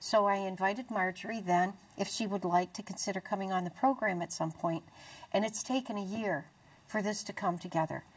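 A middle-aged American woman presenting a religious talk show in an earnest, deliberate way. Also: mid-range voice, slightly rough, recorded from a distance, rough recording. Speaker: TV show host. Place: small dark TV studio, indoors.

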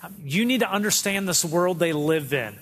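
A man's voice: high voice, lively intonation, moderate pace, a bit bright. A man preaching a sermon as a pastor, earnest and direct, driving points home with repetition. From Detroit area. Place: church sanctuary. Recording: long hall reverb.

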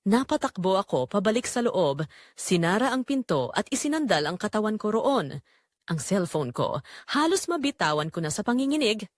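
A woman's voice: mid-range voice, very animated, moderate pace, no echo, clean studio sound. A woman narrating a scripted audio drama in the first person, with a suspenseful, emotive delivery.

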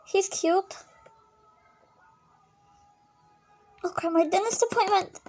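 A woman's voice: very high-pitched, normal speed, a little mellow, faint music behind. A woman playing a friendly, forward young woman with a casual, direct way of talking, in an amateur acted story. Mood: excited.

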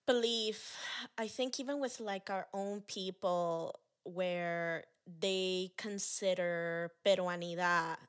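A woman hosting a podcast, animated and quick to laugh, acting out the people she quotes. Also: fairly low pitch, clean tone. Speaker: podcast host. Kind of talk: candid interview conversation.

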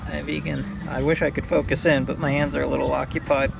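A man talking through a hands-on repair tutorial in a halting, unscripted way, thinking aloud as he works outdoors. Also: a little high, little pitch movement. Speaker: hobbyist demonstrating a repair. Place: outdoors by a car on a windy day. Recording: clear outdoor background noise.

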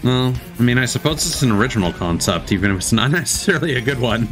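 Lively male streamer reacting casually. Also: medium pitch, quick pace, faint music behind. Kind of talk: casual live commentary.

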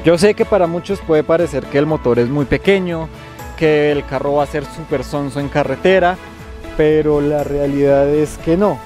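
A man's voice: fairly high pitch, darkish tone. A young adult man with a Colombian accent, giving a casual, conversational car review to camera and explaining as he goes. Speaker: car reviewer, video host. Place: inside a car and outdoors by a road.

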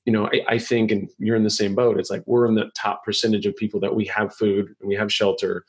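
A middle-aged man hosting a podcast, chatting casually and joking, rambling with quick restarts. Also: lowish voice, level tone, breathy edge. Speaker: podcast host. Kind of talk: casual interview chat.

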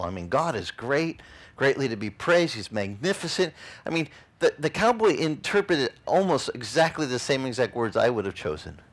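A man's voice: mid-range voice, breathy edge. A middle-aged man, a pastor telling a story in an outdoor beach sermon and acting out the voices of the people in it. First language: Italian. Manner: animated, acts out other people's lines.

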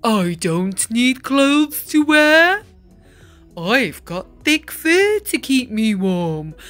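Steady storybook polar bear, plain and unfussed, voiced by a woman. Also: on the high side, extremely slow, dry recording, faint music behind, full character voice. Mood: matter-of-fact. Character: calm male polar bear.

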